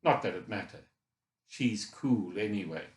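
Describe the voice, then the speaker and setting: A man's voice: on the low side, expressive, unhurried, a little mellow, echoey room. A man voicing an injured, frustrated boy who thinks aloud in a chatty, candid, colloquial way, as first-person audiobook narration. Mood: fond. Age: child.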